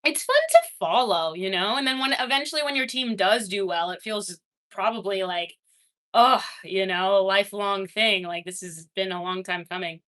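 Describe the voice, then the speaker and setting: A young adult woman streaming, casually chatting with her viewers and reading their messages, laughing often and swinging between excited and quiet. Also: medium pitch, moderate pace. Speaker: livestreamer reading chat. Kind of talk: casual livestream chat.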